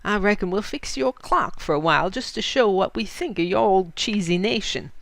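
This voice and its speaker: A woman's voice: mid-range voice, moderate pace, gravelly, no room sound, lightly acted. A woman voicing a contemptuous, commanding male bandit leader from the American West, with mocking slang and a swaggering drawl, in a storybook reading.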